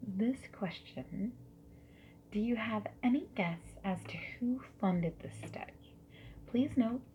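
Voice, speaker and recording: Soft whispery asmr lady doing a polite survey roleplay, really slow, keyboard typing and some noise behind her. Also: medium pitch. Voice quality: soft, breathy, often dropping into whispers. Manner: gentle, polite, unhurried questioning. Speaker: ASMR creator playing a survey interviewer. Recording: lo-fi muffled audio with noise. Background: mechanical keyboard typing.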